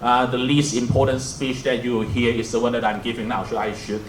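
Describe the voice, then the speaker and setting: A man's voice: medium pitch, flat delivery, a little fast, slight background noise. Hong Kong-accented man in an echoey hall. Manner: self-deprecating, joking. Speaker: moderator. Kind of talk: opening remarks at a roundtable. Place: museum event hall.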